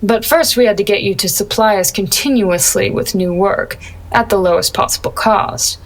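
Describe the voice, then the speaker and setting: A woman's voice: medium pitch, normal speed, lightly acted. An actress playing a gangster's tough right-hand woman in a comic audio drama, sardonic and unruffled. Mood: matter-of-fact. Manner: sardonic, unruffled, veiled threats with pet names.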